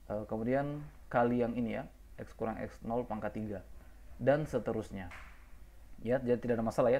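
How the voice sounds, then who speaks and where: A man's voice: mid-range voice, darkish tone. A man teaching a math lecture, walking through formulas step by step, patiently checking in with his listeners and correcting himself as he writes. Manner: patient, repeats "ya" often, self-corrects. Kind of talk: lecture explaining formulas while writing.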